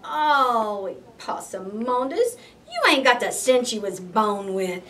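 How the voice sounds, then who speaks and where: A woman's voice: fairly high pitch, lively intonation, takes it slowly, fairly bright tone, far from the mic, slight background noise. A woman voicing an exasperated, scolding possum mama in a folksy, emphatic storybook style.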